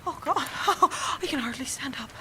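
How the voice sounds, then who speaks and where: A young woman acting in an audio drama as a fragile, fervent, exhausted actress, halting and repeating herself. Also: sits high, animated, sharp tone, a little hiss or hum, heavily acted. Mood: urgent, weary. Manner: halting, repetitive, self-correcting, intense.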